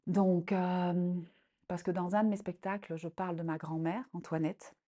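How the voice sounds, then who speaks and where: A woman in her sixties, born in Congo, a storyteller recounting a family tale with many pauses, fillers and restarts that livens up as the tale goes on. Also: fairly low pitch. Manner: halting, many fillers and restarts, animated when telling the tale. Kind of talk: personal account and folk tale.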